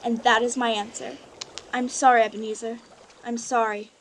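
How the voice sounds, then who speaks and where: A woman's voice: on the high side, little pitch movement, moderate pace, slight background noise, close to an everyday voice. A woman playing a sorrowful but resolute young woman in a radio play, speaking earnestly and formally. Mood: sad, resolute. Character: sorrowful, resolute young woman leaving her greedy fiance. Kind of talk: live-audience radio play acting.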